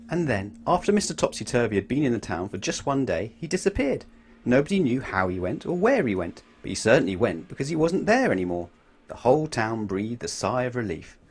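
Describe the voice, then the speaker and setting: A man reading a children's storybook aloud in an expressive way, putting questions to his young listeners. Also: mid-range voice, breathy edge. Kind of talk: animated children's storybook narration.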